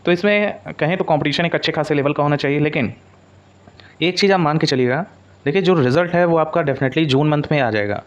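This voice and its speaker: A man's voice: on the high side, brisk. A man giving an informal explanatory update as a coaching presenter, mixing in English words and repeating points for emphasis. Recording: dull, lo-fi audio.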